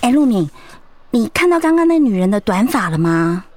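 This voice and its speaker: An actress playing an outspoken, doting middle-aged wife in a radio drama, with a Taiwanese Mandarin accent. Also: female voice, fairly high pitch, very animated, normal speed, darkish tone. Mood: chatty, admiring.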